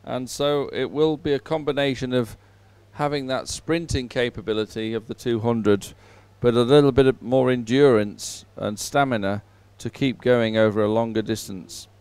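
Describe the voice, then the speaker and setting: Guy doing live sports commentary between races, clean smooth voice, lots of pauses, kinda halting delivery. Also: medium pitch, steady tone, a little mellow, no room sound. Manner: hesitant, halting phrasing with frequent pauses.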